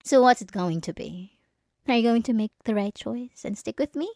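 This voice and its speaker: Woman voicing a possessive young bully girl, jealous, menacing, taunting, animated pitch, intimate ASMR roleplay monologue, dry close sound. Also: moderate pace, no room sound. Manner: menacing, taunting, swinging between soft and agitated. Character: possessive, jealous young female bully blackmailing her crush.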